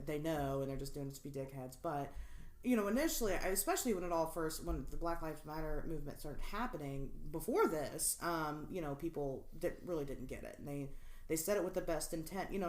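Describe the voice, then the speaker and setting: A woman's voice: sits low, echoey room. A woman in her late twenties co-hosting a podcast, chatting casually, rambling with lots of fillers and frequent laughter. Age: 20s. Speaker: podcast co-host. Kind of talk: casual chat.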